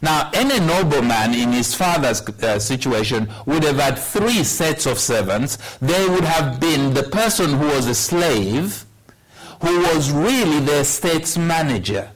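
A man in his sixties preaching a sermon, telling a personal story in a measured way with wry humor. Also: on the high side, lively intonation, poor audio. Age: 60s. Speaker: pastor.

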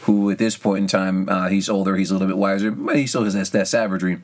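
A man's voice: sits low, steady tone, quick pace. A man co-hosting a podcast, talking casually in a wry, matter-of-fact way. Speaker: podcast co-host. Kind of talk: casual conversation.

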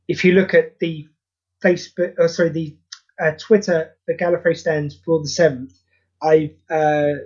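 A man's voice: a little high, a little mellow, breathy edge, muffled recording. A man hosting a podcast, chatting casually and self-deprecatingly, rambling with many restarts. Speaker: podcast host and audio play creator.

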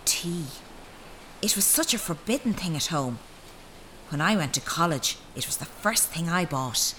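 A wounded, reproachful young daughter in a radio play. Her bright, forceful voice is intense and very animated, set slightly low. She sounds dramatic in every phrase. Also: measured pace, a little hiss or hum, rough recording. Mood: rapturous. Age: young adult.

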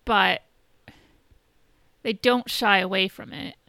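A woman co-hosting a podcast, explaining a TV show in casual chat, haltingly, repeating words and saying "you know". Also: medium pitch, steady tone, gravelly, bright, forceful tone, clear, resonant tone, dry recording. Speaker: podcast co-host.

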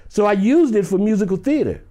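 A man with a high-pitched voice tells a story as an interview guest. He keeps it loose and chatty, with many "you know"s, and slips into the voices of the people in his tale. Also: animated, a little fast, mellow. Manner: acts out other people's lines in the story. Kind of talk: animated anecdotal storytelling.

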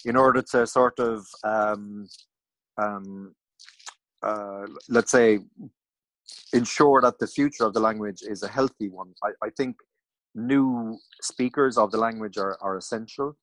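A man's voice: fairly low pitch, a little slow, fairly bright tone, dry recording, rough recording. A man hosting an interview in a casual, hesitant way, with many fillers and restarts. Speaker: podcast host.

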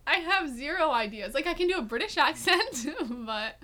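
A woman chatting casually on a vlog, giggly and animated. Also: high-pitched, moderate pace. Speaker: vlogger. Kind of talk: casual chat.

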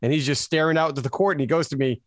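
Animated American man on a podcast. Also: a little high, very fast, dry recording, studio quality. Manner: animated storyteller, acts out quotes. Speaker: podcast co-host. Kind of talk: casual sports chat.

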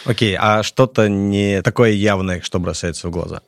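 Male interviewer for a podcast. Deep, fry-heavy voice, casual questioning, very dry sound. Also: moderate pace, no echo. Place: podcast studio.

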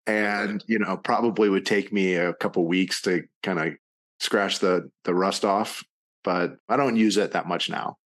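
A middle-aged man from Ohio, speaking as an entrepreneur guest on a podcast in a measured, confident way. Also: low-pitched, fairly even intonation, a bit bright. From United States (Ohio). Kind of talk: casual interview chat.

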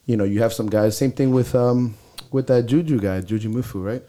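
A man's voice: mid-range voice, fairly quick, mellow. A man co-hosting a podcast, talking bluntly and swearing freely, with a stuffy nose, recorded on a close mic. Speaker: podcast co-host. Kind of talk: casual podcast chat.

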